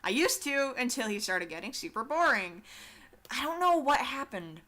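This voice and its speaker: A woman's voice: high voice, animated, moderate pace, sharp tone. A teenage girl chatting casually while she draws, rambling and swearing with self-deprecating asides. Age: teens. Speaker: artist streaming a drawing session.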